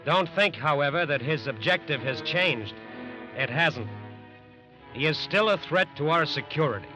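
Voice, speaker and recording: A man's voice: a little high, lightly acted. A man performing as a grave, warning narrator with an American accent, emphatic and solemn in scripted 1940s radio style. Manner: emphatic, solemn, deliberate phrasing. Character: grave, warning male narrator of wartime crime show. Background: loud dramatic music.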